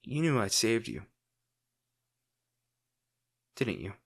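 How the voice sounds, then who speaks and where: A man playing a crime-boss villain in an intimate audio roleplay, secretly tender and worried, speaking sardonically with dramatic pauses. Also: lowish voice, big pitch swings, vocal fry, a bit bright, heavily acted. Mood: incredulous.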